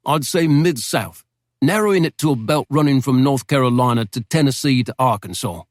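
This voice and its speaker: A man's voice: medium pitch, expressive, normal speed, dry recording, studio quality, close to an everyday voice. A man voicing a deferential British barman who is eager to chat, in a storybook reading. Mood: eager, polite. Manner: deferential, formal.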